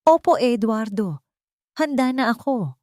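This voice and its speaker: A woman voicing a humble, respectful housemaid who speaks with polite, measured sincerity in an audiobook drama. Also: on the high side, expressive, a little mellow, no room sound, studio quality, voice pushed up. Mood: moved, accepting.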